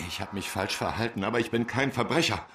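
An actor playing a naive, nervous, pious undertaker's helper in a German radio crime drama, anxious and pleading. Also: male voice, lowish voice, quick pace, pushed and bright, distant mic, slight background noise.

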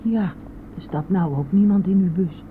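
A woman playing a pious, stubborn old passenger in a Dutch radio play, halting, shocked and insistently reproachful. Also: fairly low pitch, lightly acted. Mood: accusing, insistent. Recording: tinny band-limited old radio recording, noisy.

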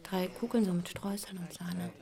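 A woman's voice: lowish voice, fairly even intonation, moderate pace, creaky, dark tone. A woman playing an insistent, protective mother who instructs and questions firmly in a naturalistic radio drama. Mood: coaxing, firm. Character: insistent, protective, lecturing mother of a young boy.

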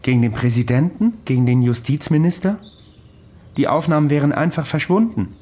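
An actor playing an insistent, cynical investigator in a radio drama, matter-of-fact and sceptical. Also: male voice, a little high, brisk, dark tone, close to an everyday voice. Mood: bitter, insistent. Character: insistent, cynical male investigator, self-justifying.

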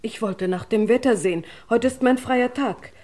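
An actress playing an earnest hotel secretary in a radio crime drama, speaking precisely and in an orderly way. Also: female voice, on the high side, normal speed, a little mellow, lightly acted. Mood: composed, earnest. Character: earnest female hotel secretary giving police testimony.

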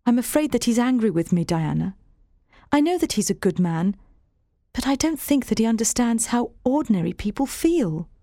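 A woman plays an earnest, troubled young woman in a storybook drama. She speaks plainly but with feeling, in a mellow voice with a dry, close sound. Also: fairly high pitch, expressive, moderate pace, dry recording, voice pushed up. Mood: miserable, earnest.

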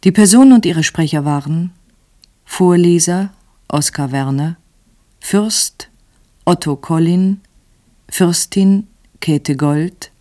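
A woman radio announcer formally reading out credits in a measured way. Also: low voice, mellow. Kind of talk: formal read cast credits.

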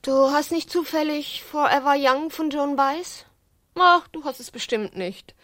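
A woman's voice: high-pitched, a little slow, a bit bright, dry recording. An actress playing a tender, teasing young woman with an intimate, playful manner in a radio drama. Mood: playful, curious. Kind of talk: German radio drama acting.

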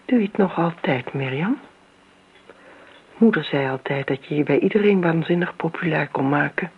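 Een actrice die in een hoorspel een verbitterde, scherpe volwassen nicht speelt, bot, spottend en verwijtend. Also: female voice, deep voice, moderate pace. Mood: accusing. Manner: blunt, sardonic, accusing. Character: bitter, sharp-tongued grown niece, imperious and mocking. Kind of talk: radio play acting. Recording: tinny, narrow old radio recording, hiss.

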